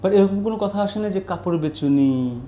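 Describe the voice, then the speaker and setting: A woman's voice: medium pitch, lively intonation, moderate pace, warm tone, roomy sound. A Bangladeshi woman hosting a conversational interview, prompting her guest and mixing in English words. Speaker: interviewer, host.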